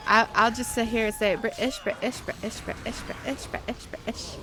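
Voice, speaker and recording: A woman live-streaming a game and chatting casually with viewers in a rambling, halting, giggly way. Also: a little high.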